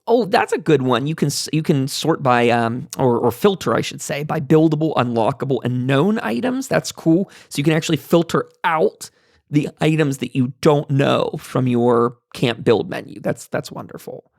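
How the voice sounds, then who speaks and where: Man, mid-pitched, gravelly edge, chatty podcast host, digressive, casual roundtable commentary. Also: lively intonation, moderate pace, darkish tone, dry recording, studio quality. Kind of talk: casual roundtable commentary, reading patch notes aloud.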